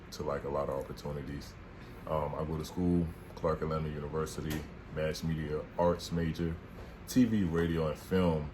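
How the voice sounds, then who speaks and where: A man, chatting casually and goofily on a couple's vlog. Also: low voice. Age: 20s. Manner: laid-back, goofy, hypes himself up. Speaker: vlogger. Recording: noisy room.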